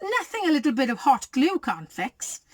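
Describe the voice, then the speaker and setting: A woman's voice: high voice, very animated, normal speed, no echo, clean studio sound, pitched above the performer's own voice. A woman voicing a fussy, self-satisfied older lady in a storybook, with a gushing, exclamatory delivery. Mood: delighted, brisk. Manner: gushing, exclamatory, pleased with herself.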